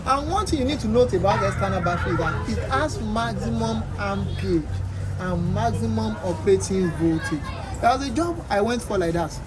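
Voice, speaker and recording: A man's voice: high-pitched, animated, moderate pace, crowd noise, poor audio. A man with a Nigerian accent teaching a technical class, explaining emphatically with worked examples and repetition. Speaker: instructor. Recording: loud street noise, voices and music behind.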